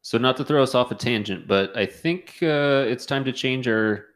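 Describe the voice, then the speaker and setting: A man's voice: mid-range voice, moderate pace. Blunt man in casual podcast banter. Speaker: podcast host. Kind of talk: casual chat.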